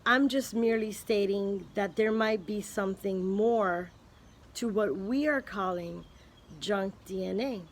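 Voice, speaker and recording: A woman talking slowly and unhurriedly to her camera, thinking aloud and often pausing to restart a thought. Her pitch sits in an ordinary middle range, and her tone is earnest and speculative, like a casual chat with a friend. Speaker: vlogger. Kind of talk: casual spoken musing to camera.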